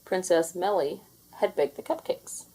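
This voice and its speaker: A woman reading a children's story aloud in an expressive, deliberate storytelling manner. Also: on the low side, breathy edge, low-quality recording. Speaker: storybook reader. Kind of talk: children's read-aloud narration with character voices.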